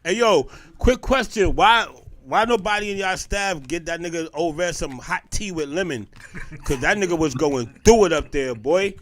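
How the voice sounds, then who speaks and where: A man with a high voice co-hosting a podcast, tossing in jokes and quick street-slang comments. He keeps the talk loose and playful. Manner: joking, slangy, quick interjections. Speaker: podcast co-host. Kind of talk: casual podcast banter.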